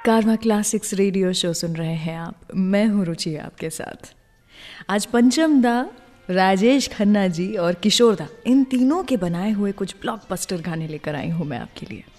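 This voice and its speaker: A woman's voice: mid-range voice, animated, normal speed, darkish tone. A woman hosting a music radio show, telling film trivia in a conversational way and speaking straight to her listeners. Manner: conversational storytelling, addresses listeners directly. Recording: long reverb, music underneath. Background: music bed.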